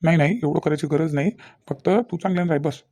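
Man acting grumbling village husband, middle-aged, soft dark tone, fast talk, sceptical, repetitive, Vidarbha Marathi accent, cartoon comedy. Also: on the high side. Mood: stern warning. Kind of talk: cartoon family comedy dialogue.

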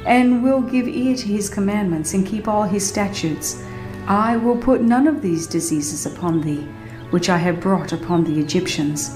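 A woman's voice: mid-range voice, darkish tone. Woman narrating in a roomy echo. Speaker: audiobook narrator. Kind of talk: dramatized reading of a religious book. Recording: roomy reverb, faint background music. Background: faint music.

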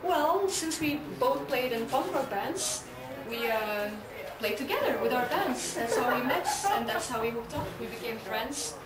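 A woman in her thirties from Belgium with a Belgian accent, chatting in a relaxed, hesitant way as a punk musician being interviewed in a club. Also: fairly high pitch, a little slow, hardly any pauses. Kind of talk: casual interview. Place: indoor club hallway, echoey. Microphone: camera mic from a distance. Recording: echoey room, distant mic, crowd behind.

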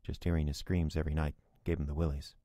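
An actor playing a reflective storyteller, giving scripted first-person narration for a horror drama. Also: male voice, extremely low pitch, little pitch movement, quick pace, background noise. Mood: reflective, fond. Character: reflective male storyteller, repairman recalling eerie past. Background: music.

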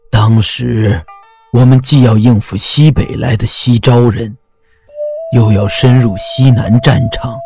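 A man voicing an aging army veteran who sighs heavily and speaks slowly, with regret in his voice. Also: medium pitch, vocal fry, mellow. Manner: slow, sighing delivery. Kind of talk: audio drama acting.

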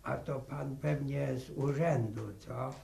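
A man playing a chatty, nosy old village grandfather with a creaky voice and stammering, folksy manner in a 1960 radio drama. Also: medium pitch, fairly even intonation, slow, mellow, a little hiss or hum. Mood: inquisitive. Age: older. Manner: stammering repetitions, folksy, inquisitive. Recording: roomy old radio studio recording.

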